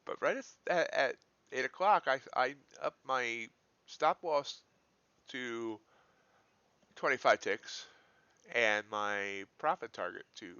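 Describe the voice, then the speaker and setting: A man's voice: medium pitch, slow pace, sharp tone, clean tone, no room sound. A man hosting a live trading stream, thinking aloud in casual, folksy commentary. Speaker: live-streaming futures trader, host. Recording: dull, lo-fi livestream audio.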